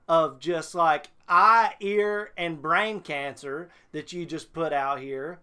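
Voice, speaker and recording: A man's voice: fairly high pitch, sharp tone, clear, resonant tone. A man hosting a reaction video, talking casually with profanity and exasperated hyperbole.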